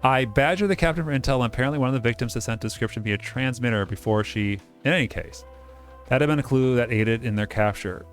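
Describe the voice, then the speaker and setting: A man's voice: medium pitch, fast talker, soft background music. A man giving casual, digressive gaming commentary, joking around and reading on-screen text aloud. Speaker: game playthrough host.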